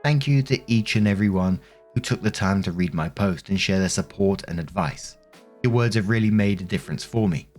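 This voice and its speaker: A man's voice: fairly low pitch, a little fast, a little mellow, soft background music. A man narrating online posts, reading steadily and adding brief casual asides. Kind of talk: reading posts aloud with commentary.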